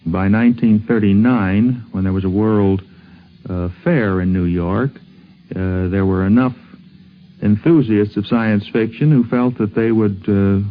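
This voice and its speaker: Low American man on thin old radio. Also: unhurried, slight background noise. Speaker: science fiction fan being interviewed. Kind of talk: interview recollection. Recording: thin phone-like 1950s radio recording.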